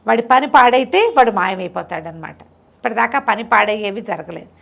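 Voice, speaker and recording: A woman's voice: medium pitch, animated, moderate pace, vocal fry, a bit bright. A middle-aged woman tells a moral story conversationally, repeating phrases for emphasis and explaining the lesson simply.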